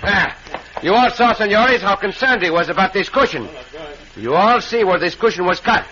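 An actor playing a confident, gallant Mexican cowboy hero in a radio western, with a Mexican accent and formal, deliberate phrasing. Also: male voice, high-pitched, lively intonation, bright, forceful tone. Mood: commanding. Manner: formal, deliberate phrasing with Spanish words sprinkled in. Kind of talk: old-time radio western acting. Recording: noisy muffled old radio recording.

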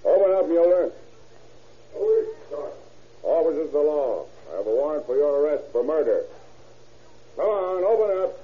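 An actor playing a commanding police captain who barks orders in a 1930s radio drama. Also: male voice, a little high, expressive, normal speed, long pauses, lightly acted. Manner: forceful, barking orders. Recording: tinny old radio recording.

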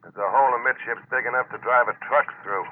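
An actor playing a cool, laconic deep-sea diver in an old American radio drama, dry and matter-of-fact with an American accent. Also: male voice, fast talker, natural voice. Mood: focused. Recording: noisy, narrow-band old radio recording.

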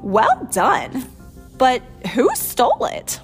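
Storybook museum guide, read by a woman: astonished and amused, exclaiming, high, expressive pitch. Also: slow pace, soft background music, heavily acted. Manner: exclaiming, incredulous.

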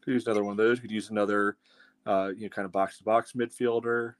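A man co-hosting a soccer fan show, giving casual analysis and measured, qualified opinions. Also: fairly low pitch, little pitch movement, no room sound.

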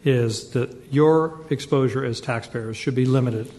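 A man speaking as a debate panelist, measured and insistent as he lays out a proposal. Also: mid-range voice, darkish tone. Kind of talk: debate argument.

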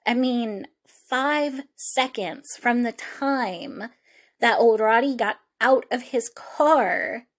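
A woman hosting a true crime podcast, speaking in an informal, reflective way with halting, conversational phrasing. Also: fairly high pitch.

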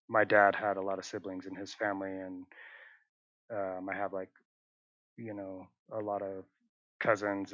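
Low, flat-voiced man, hesitant chat. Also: no room sound, muffled recording. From northern Utah, USA. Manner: hesitant, many fillers and restarts. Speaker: interview guest, muralist. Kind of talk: casual conversational interview.

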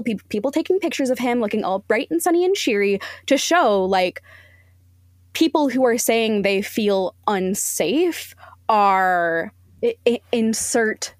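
A woman's voice: mid-range voice, no room sound, clean studio sound. A woman speaking bluntly and emphatically as an opinionated guest critic in a casual podcast interview.

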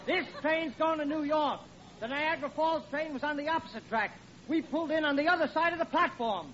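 An actor playing a patient but firm train conductor with a brisk official manner, in 1940s radio comedy. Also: male voice, very high-pitched. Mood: firm, reasoning. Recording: muffled, faintly hissy vintage radio.

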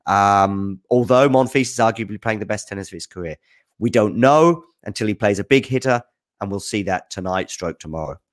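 A man's voice: on the low side, lively intonation, brisk. A man hosting a tennis news show, answering viewer questions in casual, off-the-cuff spoken commentary, thinking aloud with enthusiasm.

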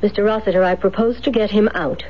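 An actress playing a determined, scheming wife in a 1970s radio drama, speaking earnestly and insistently. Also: female voice, medium pitch, fairly even intonation, quick pace, natural voice. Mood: composed, resolute. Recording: muffled, faintly noisy 1970s radio broadcast.

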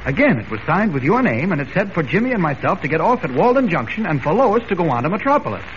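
An actor playing an earnest, urgent newspaper reporter in brisk, emphatic 1940s radio style. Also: male voice, fairly high pitch, very animated. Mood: insistent, serious. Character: earnest, urgent male newspaper reporter with a secret hero identity. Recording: noisy, muffled old radio recording.

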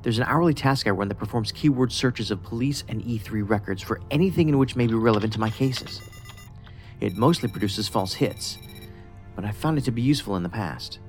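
An actor playing a pedantic, socially awkward federal investigator in a science fiction audio drama, speaking precisely and formally with a detached, musing manner. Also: male voice, on the low side, a little fast, natural voice. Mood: matter-of-fact. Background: music under the voice.

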